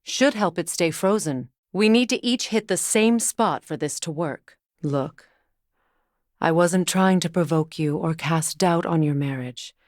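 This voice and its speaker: A woman playing a guarded, battle-hardened warrior goddess and sorceress in a video game, measured, dry and pointed in her delivery. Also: lowish voice, dry recording, natural voice. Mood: calm, practical. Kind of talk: dramatic video game character acting.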